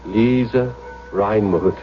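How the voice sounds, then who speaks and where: An actor playing an earnest, sensitive young German man in a 1970s radio drama, with halting, emotionally intense delivery. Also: on the low side, faint music behind. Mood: bashful, earnest. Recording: muffled, noisy vintage radio recording.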